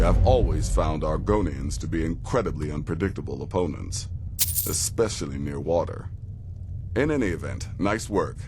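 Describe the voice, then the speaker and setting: Very deep, grave assassin. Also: male voice, creaky, full character voice. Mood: pleased, wry. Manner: measured, wry, formal. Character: grave, darkly courteous male assassin handing out contracts. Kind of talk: video game character acting.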